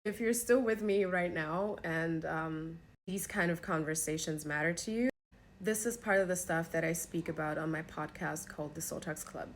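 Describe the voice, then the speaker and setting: A woman's voice: sits low, normal speed. A woman from Germany giving an earnest opinion talk to camera as a podcast host, speaking in an emphatic, pleading way.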